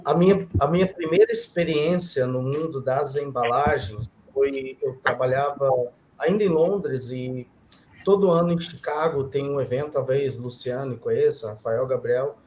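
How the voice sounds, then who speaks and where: A man's voice: medium pitch, measured pace, darkish tone. A man hosting a podcast panel, talking in a hesitant way with many fillers. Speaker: podcast host, moderator. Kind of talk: casual moderated panel. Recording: roomy sound with faint noise.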